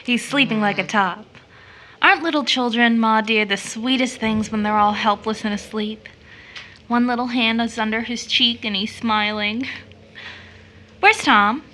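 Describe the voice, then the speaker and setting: A tender young sister in an audio drama. Her voice is bright and a bit high. She is hesitant and anxious, with a fond softness for her family. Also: a little slow, a little hiss or hum.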